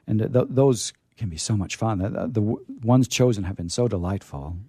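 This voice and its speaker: A man hosting a casual live radio chat, animated and playfully contrary, who often stammers and repeats words and phrases. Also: lowish voice, a little fast, husky, no echo, studio quality. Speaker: radio show host.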